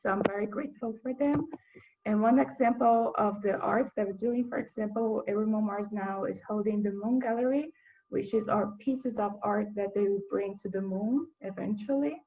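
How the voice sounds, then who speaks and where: A young woman from Brazil, a university student giving an earnest, halting webinar presentation with frequent restarts. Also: mid-range voice, creaky, dark tone, lo-fi sound. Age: young adult.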